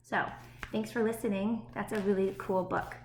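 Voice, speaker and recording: A woman's voice: mid-range voice, steady tone, normal speed, a little mellow. Woman teacher in a roomy, echoey space. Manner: encouraging, child-directed, with frequent check-in questions. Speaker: elementary school teacher. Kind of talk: read-aloud and chat to young pupils. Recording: roomy echo, faint background noise.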